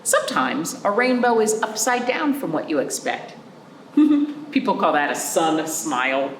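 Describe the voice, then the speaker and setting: A woman leads a storytime for young children at an unhurried, slow pace. Her pitch swings expressively as she reads and adds chatty asides, checking in with simple questions. The room gives her voice an audible echo. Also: a little hiss or hum. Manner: animated, simple explanations with frequent check-in questions. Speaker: children's librarian hosting virtual storytime. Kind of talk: picture-book read-aloud with chatty asides for young children.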